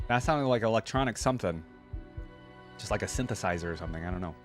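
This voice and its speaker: A man with a fairly low voice hosts a reaction video in an informal, slangy way. His commentary is casual and enthusiastic, with faint game music playing behind him. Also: lively intonation, fast talker.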